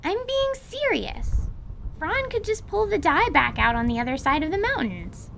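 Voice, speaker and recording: A woman voicing a cheeky, excitable young girl with a bouncy, teasing manner in an audio drama. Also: very high-pitched, normal speed, gravelly, a little hiss or hum, pitched above the performer's own voice, heavily acted. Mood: earnest.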